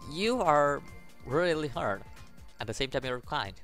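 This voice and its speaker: Young man reading game text haltingly. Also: fairly high pitch, sing-song, a bit bright, faint music behind. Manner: halting reading, stumbles over words. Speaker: gaming YouTuber. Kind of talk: casual let's play, reading game text aloud with commentary.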